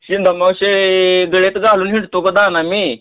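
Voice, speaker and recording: Male, high voice, rural Marathi accent, teasing prank caller, playful and pestering, dry sound. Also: flat delivery, normal speed, fairly bright tone, clear, resonant tone, no room sound. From Tuljapur, Maharashtra, India. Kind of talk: teasing prank phone call chat. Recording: close, dry phone call recording.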